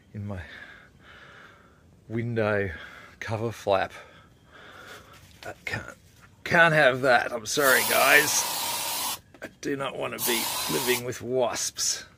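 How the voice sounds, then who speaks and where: A man's voice: mid-range voice, creaky, bright, forceful tone, slight background noise. A man in his forties with an Australian accent, talking casually and unscripted as a laid-back, colloquial vlogger. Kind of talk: casual, unscripted vlog talk.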